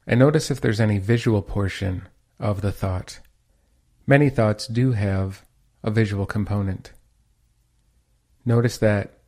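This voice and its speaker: A man leading a guided meditation as teacher, speaking in a calm, soothing, unhurried manner. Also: on the low side.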